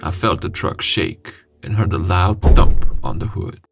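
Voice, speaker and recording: A man reading a scary first-person story in a dramatic, suspenseful way with deliberate pauses. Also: deep voice, vocal fry. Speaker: horror story narrator. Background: music.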